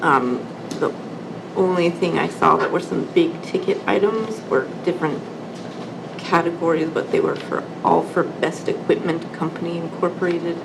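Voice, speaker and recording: A woman's voice: lowish voice, moderate pace, creaky. A woman board member asking informal questions at a public meeting in a casual way. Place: indoor meeting room. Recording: noisy room, ventilation hum.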